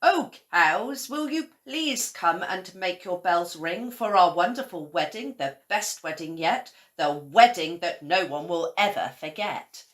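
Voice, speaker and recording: A woman voicing a cheerful, affectionate storybook scarecrow with an excitable, lilting delivery. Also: fairly low pitch, measured pace, few pauses. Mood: pleading, eager.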